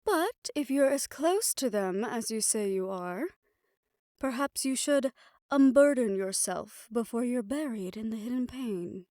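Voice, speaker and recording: Fantasy audio drama: actress as reformed yandere lady doctor. Soft, relaxed tone, formal phrasing, teasing yet caring, very dry sound. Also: a little high, measured pace, no room sound. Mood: concerned, probing. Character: reformed obsessive female doctor, teasing, probing, quietly caring. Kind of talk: fantasy audio roleplay acting.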